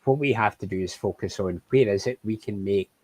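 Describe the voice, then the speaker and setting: Male charity rep, husky low voice, measured questioning, dry sound. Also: no room sound. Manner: measured, thoughtful, asks questions. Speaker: charity representative. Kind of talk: conversational interview.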